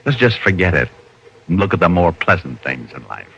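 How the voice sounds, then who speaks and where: Old radio private eye, low voice, bouncy intonation, grumbly banter, dull old recording. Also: male voice, very animated, brisk, fairly bright tone, a little hiss or hum, exaggerated voice. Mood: wry, sheepish. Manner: wry, mock-aggrieved banter. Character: wry, brooding male private detective, flirtatious, easily embarrassed. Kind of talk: 1940s-50s radio detective drama acting.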